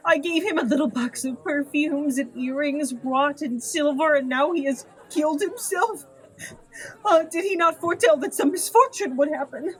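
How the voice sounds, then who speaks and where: An actress playing an earnest young servant in grief, plaintive and lamenting, in a theatrical audio drama. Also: female voice, high voice, normal speed, lightly acted, rough recording. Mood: grieving. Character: grieving young servant mourning a friend, earnest.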